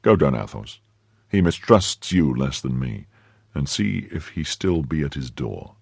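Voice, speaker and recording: A man voicing a gallant young Frenchman in a storybook, confident, coaxing and quick to give orders. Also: a bass voice, big pitch swings, creaky, darkish tone, breathy edge, no room sound, studio quality, voice pushed down, full character voice. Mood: urgent, commanding. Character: gallant, brisk young Frenchman, affectionate and commanding.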